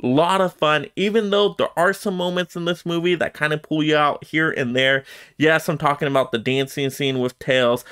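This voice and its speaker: A man in his thirties presents a countdown review with animated enthusiasm. His voice sits a little high and is smooth and clear. He talks emphatically, straight to camera. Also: moderate pace. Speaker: movie review host. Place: small room at home lined with shelves of collectibles, indoors.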